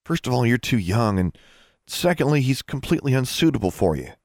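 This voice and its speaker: A man voicing an earnest, protective older brother in an audiobook, solemn and measured, with a prim, pleading manner. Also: medium pitch, sing-song, a little mellow, dry recording, studio quality, pitched above the performer's own voice. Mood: earnest disapproval. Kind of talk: audiobook character voice.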